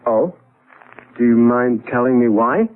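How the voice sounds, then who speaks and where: A man's voice: mid-range voice, a little slow, close to an everyday voice. An actor playing a nervous, evasive crooked businessman in 1970s radio drama, hesitant and stammering. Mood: uneasy, defensive. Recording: muffled vintage radio broadcast.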